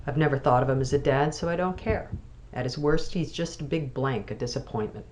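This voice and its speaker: A woman's voice: very deep voice, brisk, a little mellow. A woman reading a blunt, sardonic sister from a novel in a dry, dismissive way.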